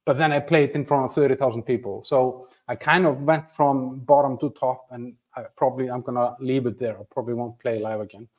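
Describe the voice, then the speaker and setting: A man's voice: mid-range voice, fairly even intonation, brisk, hardly any pauses, dull audio. A man in his fifties from Iceland hosting a livestream from home, talking casually to viewers, chatty and self-deprecating with many restarts. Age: 50s. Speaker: channel host. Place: home room with a flag behind him.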